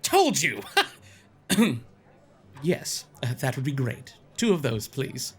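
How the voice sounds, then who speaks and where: A man's voice: mid-range voice, wildly swooping pitch, takes it slowly, husky, exaggerated voice. A man playing an enthusiastic, curious, teasing bard in a fantasy audio drama. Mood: cheerful, curious. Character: excitable, curious male bard, a tavern patron.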